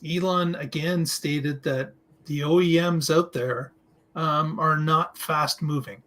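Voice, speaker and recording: A man with a slightly higher-than-average voice talks as a podcast co-host. He phrases things deliberately and haltingly, in the way of unscripted discussion commentary. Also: steady tone, fairly bright tone.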